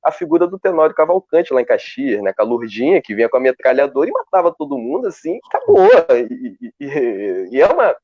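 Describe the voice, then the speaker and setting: A man speaking on a live video call, talking in a lively way with many restarts as he searches for words. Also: mid-range voice, brisk, gravelly, hardly any pauses, no echo. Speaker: guest researcher. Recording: dull, dry lo-fi call audio.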